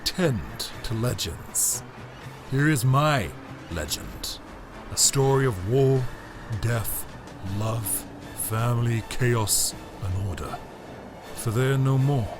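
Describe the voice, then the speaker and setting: A man playing a grandiose, cold tyrant emperor in an audio drama, delivering a pompous, theatrical monologue with deliberate pauses and condescension. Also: medium pitch, expressive, over music. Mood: grand, ominous. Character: grandiose, cold tyrant Emperor, formerly a heroic Time Lord.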